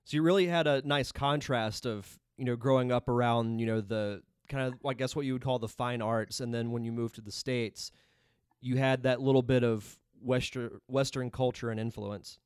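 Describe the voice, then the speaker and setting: A man's voice: medium pitch, fairly even intonation, moderate pace, clear, resonant tone, no echo. A man hosting a podcast in a conversational interviewing style, speaking close to the microphone. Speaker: podcast host.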